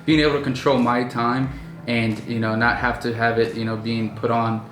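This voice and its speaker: A man's voice: medium pitch, level tone, moderate pace, fairly bright tone, roomy sound, faint music behind. A man in his twenties hosting a casual business vlog, talking loosely with many fillers and restarts. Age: 20s. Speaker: vlog host and business owner. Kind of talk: casual chat. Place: indoors at night.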